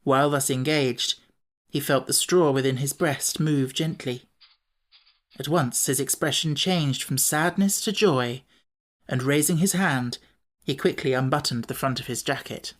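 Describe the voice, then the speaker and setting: Deep-voiced woman narrating a storybook. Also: unhurried. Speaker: audiobook narrator.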